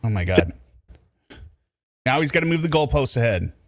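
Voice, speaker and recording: A man's voice: medium pitch, very animated, moderate pace. A man hosting reaction commentary on a recorded call, sounding exasperated and sarcastic. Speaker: commentary host.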